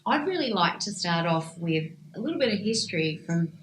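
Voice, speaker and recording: A woman's voice: lowish voice, very animated, fairly quick, slight background noise. A woman interviewing a cycling coach in a casual, reflective chat. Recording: echoey room, faint background sound.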